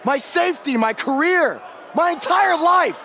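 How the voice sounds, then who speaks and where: Betrayed wrestler, strained high pitch. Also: male voice, expressive, a little slow, pushed and bright, full character voice. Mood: hurt, furious. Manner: intense, impassioned. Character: betrayed, hurt male pro wrestler, intense and proud. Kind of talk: video game cutscene acting. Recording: noisy arena crowd behind.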